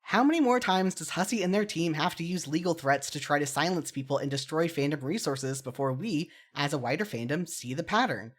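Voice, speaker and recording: A podcast host with a medium-pitched voice delivers solo commentary. The delivery is earnest and opinionated, sounding partly scripted but loosened by informal, self-deprecating asides. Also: fairly quick, few pauses.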